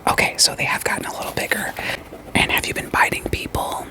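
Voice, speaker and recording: A woman playing a calm, reassuring therapist in an ASMR roleplay, whispering softly and breathily with gentle, deliberate questions. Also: lightly acted, poor audio. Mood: gentle, probing. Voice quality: soft, breathy, mostly unvoiced whisper. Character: calm, reassuring female therapist screening patients for werewolves.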